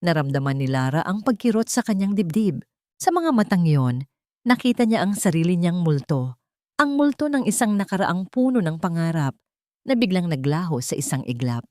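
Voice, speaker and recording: A woman narrating a scripted drama story in a measured, somber way. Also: on the low side, very animated, darkish tone, no echo, clean studio sound.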